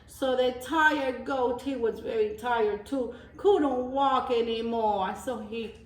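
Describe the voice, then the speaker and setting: A woman reading a storybook aloud as a teacher of young children, pausing for simple explanations and repeating phrases. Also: on the high side. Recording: roomy echo, distant microphone.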